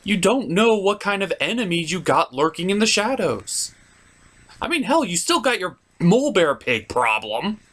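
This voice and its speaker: A man's voice: high voice, very animated, normal speed, fairly bright tone. A man narrating a scripted comedic review as host, in a sarcastic, theatrical way.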